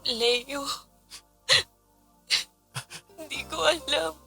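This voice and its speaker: A very high-pitched young Filipina wife acted by a woman in a radio drama, torn and anxious. Her voice is piercingly bright and hesitant, with faint music behind it. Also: measured pace, creaky, full character voice. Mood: confused. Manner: hesitant, distraught, emotional. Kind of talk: Tagalog radio drama acting.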